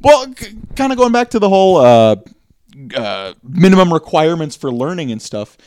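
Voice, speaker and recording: A man's voice: a little high, sing-song, normal speed, darkish tone, smooth, no room sound, clean studio sound. A man chatting casually as a podcast co-host, rambling with many restarts and trailing asides. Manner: rambling, hesitant, many restarts and agreeing "right"s. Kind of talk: casual conversational chat.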